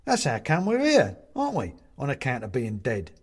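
A man's voice: medium pitch, huge pitch swings, moderate pace, a little mellow, slightly rough. A man voicing a jovial, fussy china-figurine ghost in a storybook, chatty and hearty with old-fashioned British turns of phrase. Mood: cheerful, reassuring. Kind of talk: storybook character voice.

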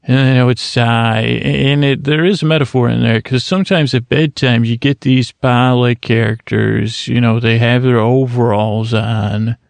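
Creaky-voiced man rambling slowly and flatly. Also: mid-range voice, no echo. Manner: slow, meandering, soothing, with many restarts. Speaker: sleep podcast host. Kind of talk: rambling sleepy monologue.

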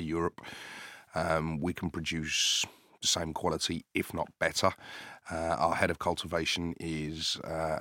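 A man who grew up in the UK, a company founder answering questions in a business podcast interview, measured and hesitant with many filler sounds. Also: rumbling low, sharp tone, no echo, clean studio sound. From United Kingdom.

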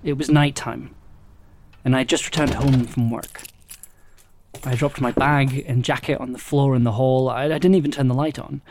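An actor playing an exhausted, anxious restaurant worker in an audio drama monologue, with a hesitant, halting delivery that repeats words. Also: medium pitch, steady tone, darkish tone, a little hiss or hum, natural voice. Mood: weary. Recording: music behind the voice.

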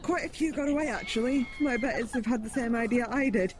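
A woman's voice: fairly high pitch, quick pace, natural voice. A woman playing a determined, pragmatic fantasy hero in an audio drama, earnest and insistent. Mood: weary, practical. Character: determined, pragmatic female fantasy hero urging on a despairing comrade.

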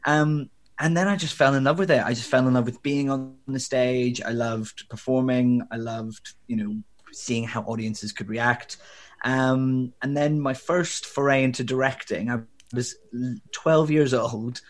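Young guy w a faint northern irish accent, interview guest, rambly and reflective, mid-pitched voice. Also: measured pace. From Northern Ireland. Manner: reflective, rambling with fillers and restarts. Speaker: guest, theatre director. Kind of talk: conversational interview.

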